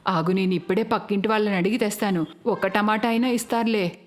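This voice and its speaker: A woman's voice: mid-range voice, steady tone, fast talker, lightly acted. A woman voicing a stingy, scheming middle-aged mother-in-law in a cartoon, coaxing and dismissive. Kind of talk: cartoon voice acting.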